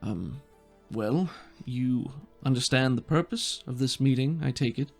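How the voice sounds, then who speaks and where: A game master voicing a polite, precise male bureaucrat in a tabletop game, speaking in formal, hesitant, measured phrases. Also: mid-range voice, vocal fry, soft background music. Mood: formal, concerned.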